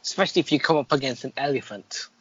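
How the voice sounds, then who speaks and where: A man's voice: medium pitch, animated, quick pace, a bit bright, breathy edge. Man bantering wryly, slightly muffled. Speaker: player and co-host. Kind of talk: casual banter during a game.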